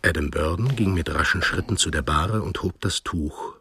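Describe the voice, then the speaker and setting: A man's voice: low-pitched, moderate pace, vocal fry, sharp tone, a little hiss or hum. A man narrating a radio drama from a script in standard German.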